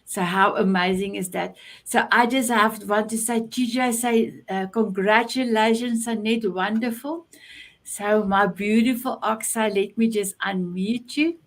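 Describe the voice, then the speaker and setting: A woman's voice: mid-range voice, measured pace. A South African woman whose first language is Afrikaans, hosting an online show over a video call and gushing with praise. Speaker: show host.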